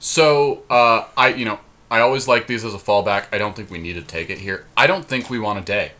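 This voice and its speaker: Podcast guy, bright punchy voice, a bit low, excitable casual chat. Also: a little fast. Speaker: podcast co-host. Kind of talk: casual chat drafting a card game.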